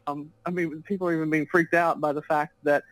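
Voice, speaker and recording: A woman's voice: sits low, fairly even intonation, fairly quick, dry recording, rough recording. A woman with a Texan accent, a guest author and business coach answering interview questions in a chatty, fluent way over a remote call. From Texas, US. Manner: chatty and fluent, often laughs while talking.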